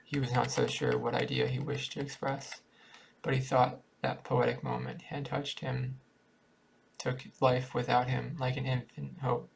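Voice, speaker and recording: A man reading a literary short story aloud in a halting way, sometimes stumbling over words. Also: mid-range voice, gravelly, a little hiss or hum, rough recording. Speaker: amateur story reader.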